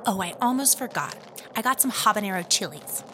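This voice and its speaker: A woman's voice: medium pitch, expressive, brisk, background noise, natural voice. A woman playing a friendly, chirpy, helpful prisoner in a comedy audio drama.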